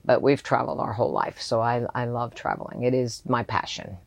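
A woman's voice: very deep voice, fairly quick, vocal fry, no room sound. A middle-aged woman, a charter company owner, chatting casually as a podcast guest, telling stories animatedly, laughing often and acting out what people said. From raised abroad, settled in Houston. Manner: animated storyteller who acts out quoted remarks and laughs often.

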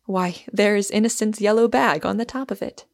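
A woman voicing a calm, practical young woman, natural and quietly persistent, in an audiobook. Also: mid-range voice, a little fast, gravelly, natural voice. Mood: calmly insistent. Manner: natural, plain-spoken, persistent. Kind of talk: audiobook character voice.

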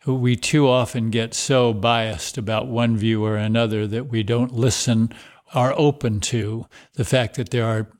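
A man's voice: medium pitch, steady tone, a little slow, a little mellow. A man hosting a podcast who asks the guest open questions and offers brief reflections. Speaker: podcast host. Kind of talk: interview questions.

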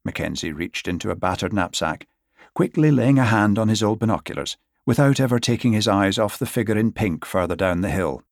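Low-pitched man narrating an audiobook. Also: lively intonation, brisk, no echo, clean studio sound. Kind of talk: scripted literary narration.

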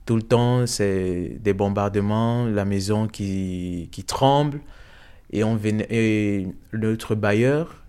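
A young man from Cameroon giving a hesitant, soft-spoken personal testimony in an interview, with many fillers. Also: lowish voice, slow, smooth.

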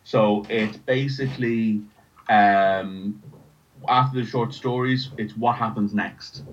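Irish male interview guest, lowish voice, casual, stop-start delivery, lo-fi sound. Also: fairly even intonation, slow pace, a little hiss or hum. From Ireland. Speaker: writer and podcast creator, interview guest.

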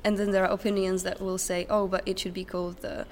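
A woman's voice: mid-range voice, flat delivery, fast. A young woman answering interview questions in English in a hesitant, thoughtful, conversational way. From Czech Republic. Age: young adult. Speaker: interview guest, recent graduate. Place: conference center. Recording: background noise at conference venue. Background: ambient noise, distant sound check.

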